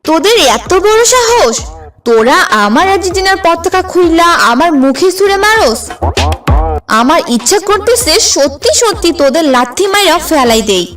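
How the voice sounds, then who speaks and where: Woman voicing a high, animated, feisty young cartoon woman. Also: moderate pace, distant mic, over music. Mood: angry, combative. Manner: combative, sharp-tongued. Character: feisty young woman, defiant Argentina football fan. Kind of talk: comic cartoon dub.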